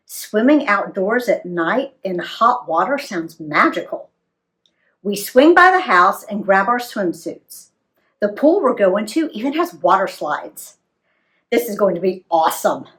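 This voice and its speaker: A woman reading a story aloud for young listeners in a dramatic, emphatic, clearly phrased way. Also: mid-range voice. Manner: dramatic, animated delivery with emphatic dialogue.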